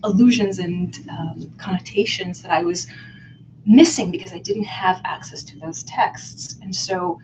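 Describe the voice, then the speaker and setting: Reflective woman, low voice. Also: a little mellow, a little hiss or hum. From Israel. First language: Hebrew. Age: 30s. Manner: thoughtful, reflective, pauses to search for words. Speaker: guest scholar. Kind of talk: casual interview. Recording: lo-fi online video call.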